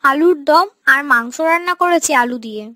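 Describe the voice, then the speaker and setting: A woman's voice: sits high, animated, normal speed, bright, forceful tone, no echo, clean studio sound. A woman voicing a quarrelsome, jealous young village wife in a cartoon, snappy and defiant. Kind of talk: cartoon character dialogue.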